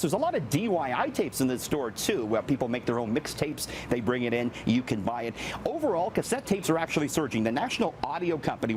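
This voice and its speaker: A middle-aged Canadian man giving a conversational business news report in an animated, chatty, explanatory way. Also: fairly high pitch, noisy background.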